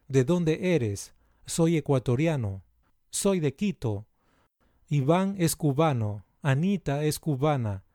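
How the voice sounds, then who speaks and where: A man reading out simple practice sentences in a slow, deliberate, evenly paced way, like a language lesson recording. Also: medium pitch, mellow, no echo, studio quality. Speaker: language teacher. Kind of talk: slow scripted language drill.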